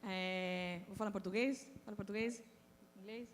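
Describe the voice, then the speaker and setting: A woman from Peru introducing herself as a startup founder on a panel, quick and peppered with "é" fillers. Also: mid-range voice, steady tone, a little hiss or hum. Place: conference stage in a large hall. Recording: long hall reverb.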